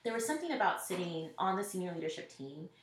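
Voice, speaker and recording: A woman's voice: lowish voice, very animated, fast talker, slight background noise. A woman from Nashville answering interview questions in an earnest, reflective way, often quoting what others said. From Nashville, Tennessee. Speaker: interview guest, school network executive. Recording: roomy echo.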